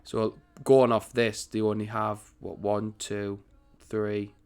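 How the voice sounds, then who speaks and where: Chatty man with a croaky voice. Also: fairly low pitch, flat delivery, slow pace, darkish tone. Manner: chatty, rambling, self-correcting. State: croaky morning voice. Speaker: gaming channel host. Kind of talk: casual strategy game commentary.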